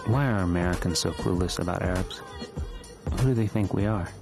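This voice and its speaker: A young man narrates a documentary in a deep, low voice, calm and reflective. Loud music plays under every word. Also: a little fast.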